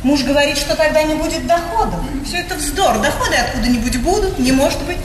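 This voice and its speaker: Frivolous grande dame played by a woman, theatrical and gushing, very high lively voice, hissy echoing stage recording. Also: fast, distant mic, lightly acted. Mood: airy, opinionated. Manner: affected, gushing society speech with French words. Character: frivolous, haughty aristocratic lady, gushing and self-assured. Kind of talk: theatrical stage comedy acting.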